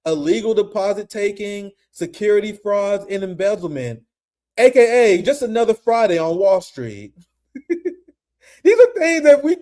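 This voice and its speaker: A young man hosting a casual political commentary stream, animated and profane, laughing often. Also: high-pitched.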